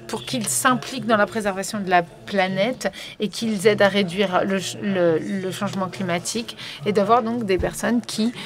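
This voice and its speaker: A woman working as a conference interpreter, relaying a panel live with frequent fillers and restarts. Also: medium pitch. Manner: hesitant, many fillers and restarts.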